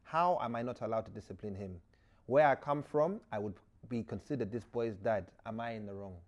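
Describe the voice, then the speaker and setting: A man's voice: lowish voice, fairly quick, natural voice. A man putting on an aggrieved Ugandan-accented stepfather. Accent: Ugandan, put on. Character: exasperated Nigerian stepfather writing in for advice.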